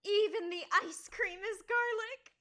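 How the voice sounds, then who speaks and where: Woman acting a young schoolgirl pen pal, earnest and precise, high pitched, radio play. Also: unhurried, fairly bright tone. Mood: cheerful.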